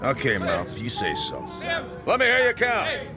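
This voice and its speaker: An actor playing a bossy baseball coach who shouts orders at new players. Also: male voice, mid-range voice, huge pitch swings, unhurried, loud music behind the voice. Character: barking male baseball coach.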